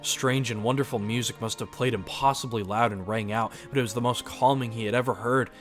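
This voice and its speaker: A male narrator with a medium-pitched voice reads a scripted adventure story. Music plays clearly behind his storytelling. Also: fairly even intonation, fairly quick.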